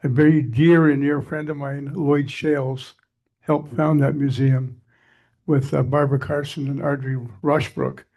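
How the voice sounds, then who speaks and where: A man's voice: mid-range voice, level tone, measured pace, a little mellow. An older Canadian man giving a chatty, digressive talk to a society meeting, his voice scratchy from allergies. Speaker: guest speaker.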